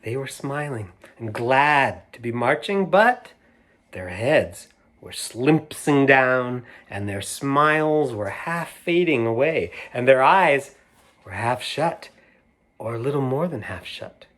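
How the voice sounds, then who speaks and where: A man reads a picture book aloud at a slow, unhurried pace, as if sitting with a group of children. He talks warmly to them, asks them questions and points out the pictures. His voice sits at an ordinary middle pitch. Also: expressive. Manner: unhurried, playful, talks directly to young listeners. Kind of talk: read-aloud storytime with asides about the pictures.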